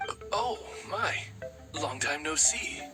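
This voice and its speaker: A man's voice: fairly high pitch, expressive, slow. A man playing a courtly raven familiar in a video game, a devoted, anxious attendant who speaks formally and deferentially. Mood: flustered courtesy. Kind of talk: video game character acting. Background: prominent game music.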